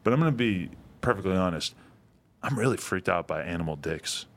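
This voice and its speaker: A man's voice: sits low, animated, fairly quick. A young man hosting a casual podcast, telling loose, rambling stories full of fillers. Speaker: podcast host. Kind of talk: casual chat.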